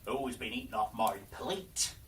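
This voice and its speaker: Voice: mid-range voice, expressive, unhurried, a bit bright, far from the mic, slight background noise, pitched below the performer's own voice. A storyteller voicing little storybook dwarf men, curious and indignant, in exclamations and questions. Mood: indignant puzzlement.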